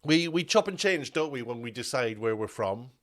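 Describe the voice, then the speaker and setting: A man's voice: mid-range voice, lively intonation, moderate pace. A man delivering a ranting commentary video, indignant and full of restarts and repeated words. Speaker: media commentator.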